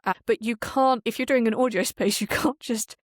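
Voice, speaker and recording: A woman's voice: a little high, fairly even intonation, moderate pace, dry recording, studio quality. A woman talking casually as an interview guest, explaining how audio production works and often voicing imagined quotes as she goes.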